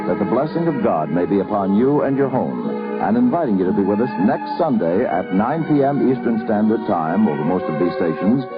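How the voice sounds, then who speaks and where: Male network announcer, 1950s radio style. Formal and polished, animated intonation, muffled vintage sound over loud music. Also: lowish voice, brisk, few pauses. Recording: dull 1950s radio recording.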